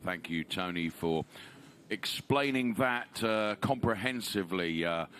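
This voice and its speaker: A man giving live race commentary, pausing often on "uh" and rising with excitement at big moments. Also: low voice, a little hiss or hum. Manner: frequent "uh" fillers, excited rises.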